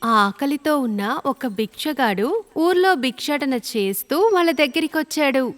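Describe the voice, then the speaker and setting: A woman's voice: sits high, lively intonation, normal speed, soft background music. A woman narrator reading a children's story in a scripted storytelling style.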